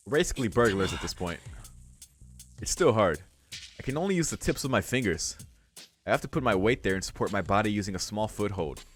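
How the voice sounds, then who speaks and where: A man's voice: fairly low pitch, fairly bright tone. A man hosting a let's play, reading a visual novel aloud with casual, sweary asides. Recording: music behind the voice. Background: game music.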